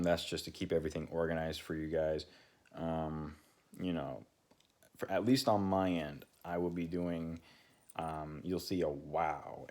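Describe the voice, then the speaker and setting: A young man with a deep voice, talking casually to his channel's viewers and rambling with many fillers and restarts. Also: a little mellow. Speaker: channel co-host. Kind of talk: casual talk to camera.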